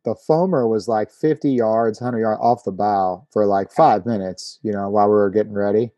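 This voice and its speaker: Laid back podcast host dude, deeper mellow voice, just chatting casually. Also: male voice, normal speed, no echo. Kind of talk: casual chat.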